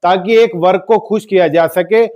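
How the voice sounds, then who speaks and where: A man's voice: high voice, normal speed, a little mellow, highs cut off. A man hosting a news commentary, delivering scripted political remarks in an emphatic way and putting rhetorical questions to his viewers. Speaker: news commentator and channel host.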